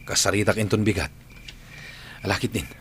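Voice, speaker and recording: A man playing a low-voiced husband caught between wife and mother in an Ilocano radio drama. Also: fairly even intonation, a little fast, background noise, natural voice. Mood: weary, placating.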